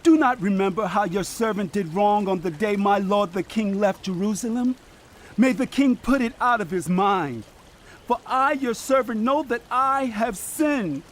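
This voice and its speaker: High-voiced grovelling penitent man. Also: expressive, unhurried, a little hiss or hum. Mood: abject, pleading. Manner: abject, pleading. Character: penitent, grovelling subject begging a king's forgiveness. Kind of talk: dramatized audio Bible acting.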